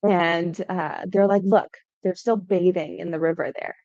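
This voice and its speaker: A woman's voice: on the low side, fairly even intonation, unhurried, darkish tone, no echo. A young adult woman from Hawaii, a startup founder answering podcast interview questions in a conversational way, often saying "um" and "right?". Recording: dull lo-fi sound.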